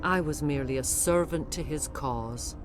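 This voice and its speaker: Woman acting a solemn, regal alien mother, low-pitched. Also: over music, natural voice. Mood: solemn, remorseful. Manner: solemn, measured, formal diction. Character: regal older alien mother, remorseful, mind enslaved. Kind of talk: dramatic video game acting.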